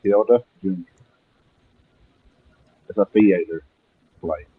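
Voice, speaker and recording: Phone-like audio, low husky male voice, Southern US accent, dry, casual chat. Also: slow, no echo. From Alabama. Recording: muffled, tinny phone-like line.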